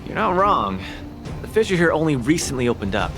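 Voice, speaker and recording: A man's voice: fairly high pitch, big pitch swings, fairly quick, over music. A young man playing a cocky, upbeat champion fighter in a video game, with a boastful, theatrical, eager delivery. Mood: eager, confident. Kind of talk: animated video game voice acting.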